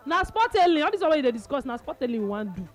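A woman's voice: high-pitched, sing-song, rapid-fire, soft background music. A woman with a Nigerian accent hosting a radio sports show, teasing her co-host and reading listener texts in pidgin-laced English.

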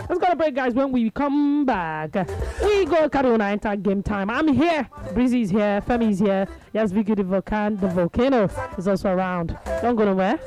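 A woman's voice: mid-range voice, a little mellow, rough recording. A woman with a Nigerian accent hosting a sports radio show, chatting casually in English and Pidgin. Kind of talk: casual call-in chat mixing English and Pidgin.

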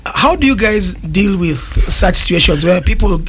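A man hosting a panel chat, jokey and animated as he asks his guests questions. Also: high-pitched, moderate pace. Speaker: radio show host. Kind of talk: casual radio panel chat.

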